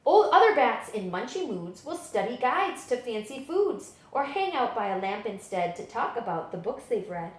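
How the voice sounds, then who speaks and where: A woman reading children's picture books aloud as a story-time librarian, with dramatic, expressive storytelling. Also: a little high, moderate pace, hardly any pauses. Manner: dramatic, animated storytelling delivery. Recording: echoey room.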